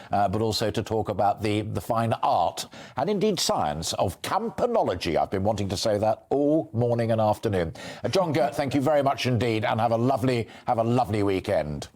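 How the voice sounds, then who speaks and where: A man's voice: medium pitch, lively intonation, brisk, a little mellow. A middle-aged man with a British accent interviewing on a live news broadcast in an effusive, wordy, emphatic manner.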